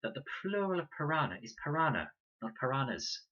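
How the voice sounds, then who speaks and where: A man voicing a calm, practical, knowledgeable young girl in a storybook, speaking in a measured, precise way. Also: mid-range voice, highs cut off, pitched above the performer's own voice. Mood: calm, reasoning.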